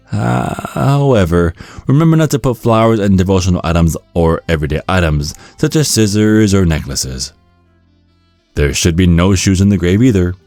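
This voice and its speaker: Low-pitched man narrating, lively intonation. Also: dry recording. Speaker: narrator and ASMR performer. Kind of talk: scripted ASMR narration. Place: close, dry recording with music behind.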